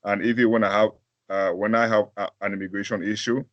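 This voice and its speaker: A man from Africa, a pastor, answering interview questions in a halting way, with many fillers and restarts and a deep voice. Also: flat delivery, dry recording, dull audio.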